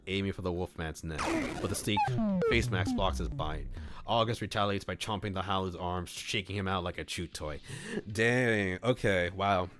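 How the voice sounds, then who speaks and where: A man reading a visual novel aloud on a stream, mixing expressive narration with casual commentary. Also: low voice. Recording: music under the voice. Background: background music.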